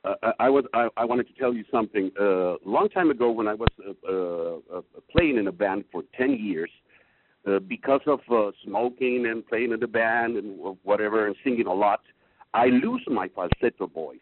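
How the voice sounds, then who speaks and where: A baritone man speaks as a student in a singing lesson, low and hesitant, often restarting his words. The sound is thin and phone-like, with a faint hiss. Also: moderate pace. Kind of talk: casual chat in a lesson. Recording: tinny call line, faint hiss.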